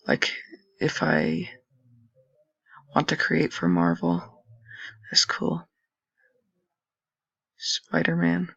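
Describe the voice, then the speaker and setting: A young man with a raspy voice, casually commenting and thinking aloud while browsing old web pages on a screen recording. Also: fairly high pitch, sing-song, extremely slow, pauses often, bright, forceful tone, no room sound. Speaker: channel host. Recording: dull lo-fi dry recording.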